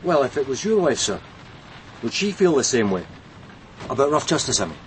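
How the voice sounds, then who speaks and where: A man's voice: mid-range voice, sing-song, fairly quick, slight background noise, lightly acted. A dogged Scottish detective, played by a male actor, reporting to his boss in a dry, deferential way. It is naturalistic radio drama, with faint ambience behind the voice. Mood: matter-of-fact.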